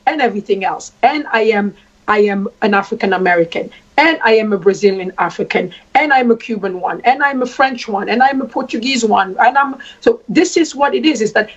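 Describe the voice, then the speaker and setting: Husky woman from Congo, deliberate address. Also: on the high side. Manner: deliberate, repeating phrases for emphasis. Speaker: traditional queen speaking as a guest. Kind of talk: spoken address. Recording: dull lo-fi sound.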